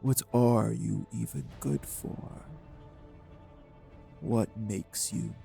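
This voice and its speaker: A man playing a cold, manipulative vampire villain in an audio roleplay, speaking with deliberate, condescending menace in a husky, gravelly voice. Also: on the low side, fairly even intonation, warm tone, soft background music, exaggerated voice. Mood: taunting, menacing. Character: cold, manipulative male vampire taunting his captive. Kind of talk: dramatic audio roleplay acting.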